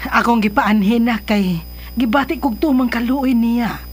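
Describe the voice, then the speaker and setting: A concerned Filipino mother reasons gently and pleadingly with her grown son. Her voice sits a bit high, in radio drama style, with soft music beneath. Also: a little slow, husky. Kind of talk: Philippine radio drama acting.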